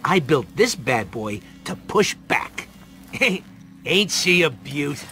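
A man's voice: on the high side, very animated, takes it slowly, bright, forceful tone, heavily acted. A man voicing a small, brash, gun-crazy raccoon mercenary with a griping, sarcastic manner in a video game. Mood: boastful, wry.

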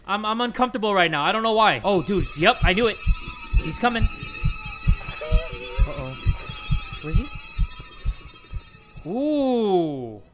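Animated young man yelling over game. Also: high voice, a little slow, pauses often, background noise. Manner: animated, swings from loud yelling to hushed talk. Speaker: gaming video host. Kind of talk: energetic horror game commentary. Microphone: headset.

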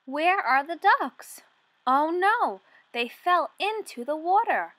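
A woman in her twenties reading a picture book aloud for young children, asking questions and enunciating deliberately. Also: extremely high pitch, lively intonation, a bit bright, dry recording. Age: 20s.

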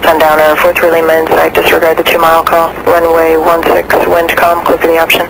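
A man's voice: fairly high pitch, monotone, a little fast, gravelly. A man working as a tower controller, giving clipped, formulaic instructions over aviation radio. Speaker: air traffic tower controller. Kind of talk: air traffic radio instructions. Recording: aviation radio with engine noise.

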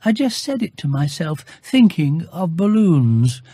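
Storybook bear, male reader: small, earnest, muddled, high voice, slow and pondering. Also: husky, no echo, clean studio sound, heavily acted. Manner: slow, pondering, singsong when excited.

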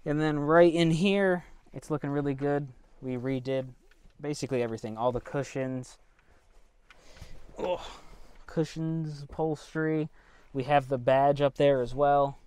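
A man's voice: mid-range voice, steady tone, moderate pace, a little mellow, smooth, no echo. Easygoing young man on a lapel mic. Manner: easygoing, explains features with fillers. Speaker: co-host giving a boat tour. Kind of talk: casual walkthrough vlog. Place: small boat cabin, outdoors by trees at the end. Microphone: wireless lapel mic.

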